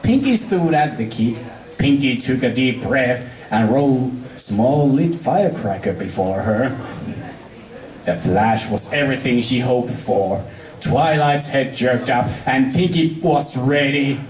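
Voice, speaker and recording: A man's voice: medium pitch, expressive, unhurried, a little mellow, roomy sound, low-quality recording. A man reading fan fiction aloud as storybook narration, an amateur who halts and stumbles over words.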